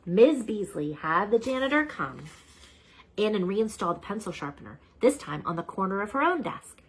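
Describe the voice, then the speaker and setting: A woman reading a children's storybook aloud as an animated storyteller. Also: mid-range voice, moderate pace, noisy background. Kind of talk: expressive read-aloud narration.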